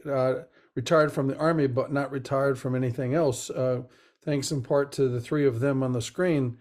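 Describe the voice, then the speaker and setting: An older American man, sixty or above, giving semi-formal remarks as a panel moderator in a measured, deliberate way. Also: on the high side, darkish tone. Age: 60s or older. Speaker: moderator, retired army general. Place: video call webinar.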